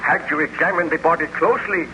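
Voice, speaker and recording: A noisy 1930s radio recording carries a male actor as an anguished nobleman scientist. His voice sits on the high side, with formal, declamatory delivery and a proud, resolute temper. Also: quick pace, lightly acted. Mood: commanding.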